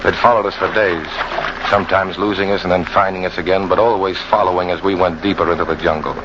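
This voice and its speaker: A low-voiced, bitter hunting guide played by a man narrates in tough, colloquial radio-drama style. He broods like a hardened fugitive. The old recording is muffled and very noisy. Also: steady tone, fast, lightly acted. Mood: grim. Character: bitter hard-boiled jungle hunting guide, fugitive, confessing his hatred.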